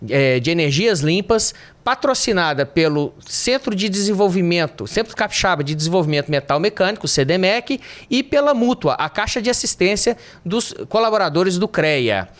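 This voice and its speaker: A man hosting a podcast, opening the episode and asking the guest questions in a friendly, sometimes halting way. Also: high-pitched, lively intonation. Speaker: podcast host. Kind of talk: podcast introduction and interview questions.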